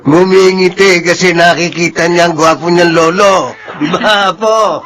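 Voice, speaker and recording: Radio drama role: doting, vain elderly Filipino grandfather, high-pitched, unhurried delivery. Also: steady tone, fairly bright tone, a little hiss or hum. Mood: playful, doting. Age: older.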